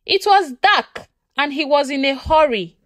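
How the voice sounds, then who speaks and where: A woman's voice: high voice, lively intonation, unhurried, a bit bright, no echo. A Nigerian-accented woman voicing a defensive, sullen accused sister in a folktale, subdued in manner.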